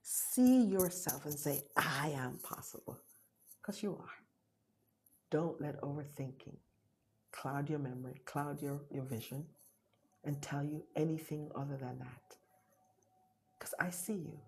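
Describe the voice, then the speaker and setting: A woman's voice: low-pitched, measured pace, vocal fry, pauses often, a little hiss or hum. A middle-aged woman with a Jamaican accent hosting a motivational live talk, urgent and repetitive, dropping to whispers for emphasis.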